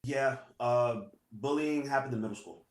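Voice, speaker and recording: A man, a Spanish speaker, telling his story casually in an interview and filling pauses with "you know". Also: mid-range voice. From New York. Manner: relaxed, many "you know" fillers. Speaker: guest, assistant principal. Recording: echoey room.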